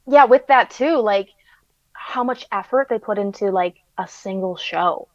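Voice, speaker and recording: A woman's voice: medium pitch, a little slow, no room sound. Upbeat woman guest on a dull line. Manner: upbeat, giggly fan talk with many "like"s. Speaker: podcast guest. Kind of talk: casual conversation.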